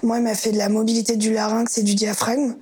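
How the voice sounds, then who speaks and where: A woman's voice: fairly high pitch, level tone, fast, mellow. A young woman telling her story in an interview, hesitant and reflective with many fillers. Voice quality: very breathy, husky voice. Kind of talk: personal testimony interview.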